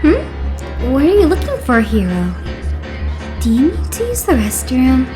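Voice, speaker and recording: Casting: female actor for eager teenage boy idol, high and very animated, dramatic fanboy energy, anime game dub over music. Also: unhurried, heavily acted. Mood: chatty, informative. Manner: gushing, dramatic. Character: excitable young male idol fanboy, eager, easily alarmed.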